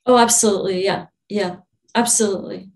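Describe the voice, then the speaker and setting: A young woman from Albania, a spiritual channeler, talking in a relaxed interview, soft-spoken with frequent laughs and restarts. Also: mid-range voice, fairly quick.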